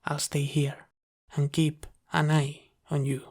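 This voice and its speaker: Guy doing a soft caring sleep paralysis demon for asmr, really slow and even, super soothing. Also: fairly high pitch. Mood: soothing, encouraging. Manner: soft-spoken, reassuring, unhurried with pauses. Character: gentle, caring male sleep paralysis demon comforting his human.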